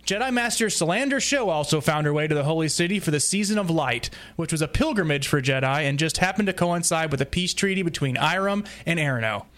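A man with a somewhat high-pitched voice reads from a prepared summary for a podcast. He stumbles here and there and slips in informal asides as he goes. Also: expressive, fast talker, hardly any pauses, fairly bright tone. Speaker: podcast guest presenter. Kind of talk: reading a prepared plot summary.

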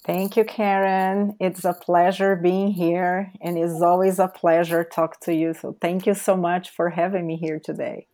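A woman from Brazil with a Brazilian Portuguese accent, a podcast guest talking haltingly with many fillers. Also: fairly low pitch, level tone, mellow. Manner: slow, halting, with frequent fillers. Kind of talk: podcast interview.

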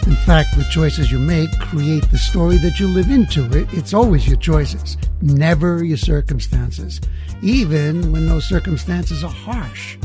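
A middle-aged man hosting a podcast, reading a measured intro and chatting easily, laughing often. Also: on the high side, dark tone. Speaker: podcast host. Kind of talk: scripted intro then casual interview.

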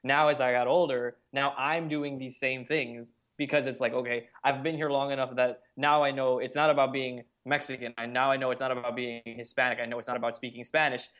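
A man's voice: mid-range voice, little pitch movement, a little fast, hardly any pauses, a bit bright. A young adult man speaks as a podcast guest, recounting his own experiences. His talk is earnest and conversational, looping back on itself with frequent "like"s as he works through his thoughts. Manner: earnest storytelling, frequent "like" fillers. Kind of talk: casual conversation.

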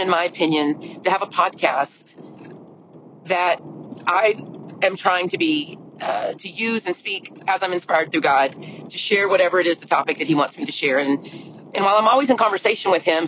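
A middle-aged woman with a deep, scratchy voice hosting a solo podcast, chatty and earnest, often restarting her sentences. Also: fairly quick, a bit bright. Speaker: podcast host. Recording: lo-fi audio with clear background noise.

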